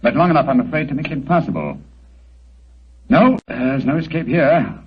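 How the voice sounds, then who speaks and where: An actor playing a brilliant, calm detective with dry wit in 1940s radio acting, speaking in a crisp, precise manner over a dull old radio broadcast. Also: male voice, mid-range voice, normal speed.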